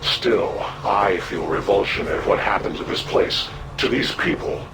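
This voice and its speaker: An actor playing a grave, formal soldier in a video game, with solemn, stilted phrasing. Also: male voice, lowish voice, animated, a little slow, music in the background, poor audio. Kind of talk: scripted video game dialogue.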